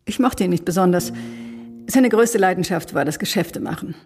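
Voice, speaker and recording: An actress playing an austere, rational wife in a radio crime drama, frank and restrained. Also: female voice, fairly low pitch, a little fast, darkish tone, long reverb tail, soft background music, natural voice. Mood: cool, frank.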